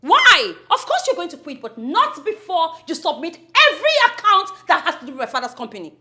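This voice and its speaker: A woman's voice: very high voice, wildly swooping pitch, brisk, heavily acted. An actress playing a defiant young woman who accuses her uncle and demands her father's company accounts. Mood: taunting. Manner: defiant, accusing, rising to near-shouting. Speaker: daughter confronting her uncle. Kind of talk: film drama acting.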